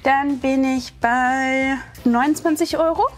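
High-voiced young woman chatting playfully. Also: steady tone, slow pace. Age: young adult. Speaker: vlog host. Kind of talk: casual shopping chat. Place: inside a large drugstore. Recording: background music.

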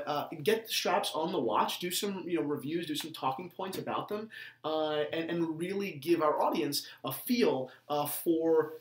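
A man in his twenties with an American accent chatting casually as a show host, often repeating words as he goes. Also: a little high. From New Jersey, United States. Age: 20s. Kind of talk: casual chat. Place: small indoor room, seated at a table. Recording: roomy indoor echo.

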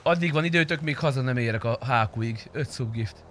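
A man's voice: medium pitch, a bit bright. Young male game streamer, casual talk, some background noise and car sounds. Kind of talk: casual livestream chat while gaming.

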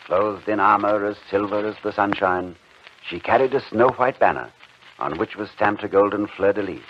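A man narrating an old-time radio drama from a script in a formal, measured manner. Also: fairly low pitch. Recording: dull, noisy old radio recording.

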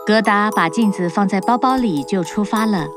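A woman narrating a cartoon fairy tale in an even, clear storytelling voice. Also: medium pitch, normal speed. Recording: narration over music.

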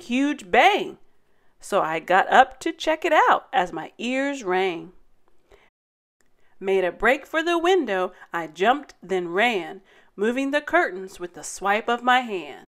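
A woman hosting story time, reading a rhyming children's picture book aloud in a dramatic, deliberate way. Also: mid-range voice, fairly bright tone. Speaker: story time host and narrator.

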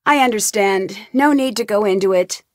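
A woman's voice: medium pitch, normal speed, no echo, clean studio sound, lightly acted. A woman voicing a young woman detective in game voice lines, asking earnest, inquisitive questions in a polite tone. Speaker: voice actor. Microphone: studio mic, dry.

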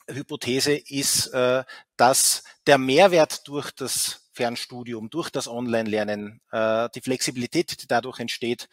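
Man, middle-aged, keynote lecture, hesitant, fillers, average pitch, dry office sound, headset mic. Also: no room sound. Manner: hesitant, many fillers and restarts. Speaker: keynote speaker. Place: office, indoors. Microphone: headset, video call.

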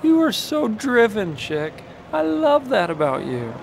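A breezy young race car in a cartoon game. The voice is slightly high and lively, casual and sure of itself, over noticeable background noise. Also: male voice, a little slow, vocal fry, full character voice. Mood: confident. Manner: casual, confident. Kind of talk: animated game voice acting.

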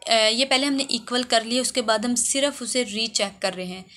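A woman's voice: a little high, moderate pace, pushed and bright. A woman hairdresser narrating a how-to video, explaining step by step and often repeating herself. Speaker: hairdresser giving a tutorial. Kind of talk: instructional voice-over for a how-to video.

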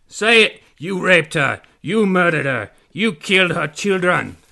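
A man's voice: a little high, fairly even intonation, moderate pace, fairly bright tone, close to an everyday voice. A man voicing an indignant, dim-witted, angry male pirate in a comedic story reading. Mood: furious shouting. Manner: indignant, exclaiming.

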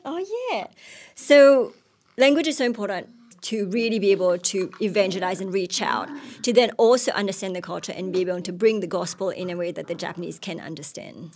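A woman's voice: mid-range voice, fairly quick, a little mellow. A woman in her thirties from Mauritius, speaking into a handheld mic as a missionary giving personal testimony in an onstage interview. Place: indoor stage with curtain backdrop. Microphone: handheld microphone.

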